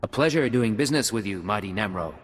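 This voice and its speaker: A cool imperial spy answers in a low, level voice, short and dry. A long reverb hangs after each line. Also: male voice, fast, breathy edge, faint music behind. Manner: calm, clipped, dry. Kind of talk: video game cut-scene acting.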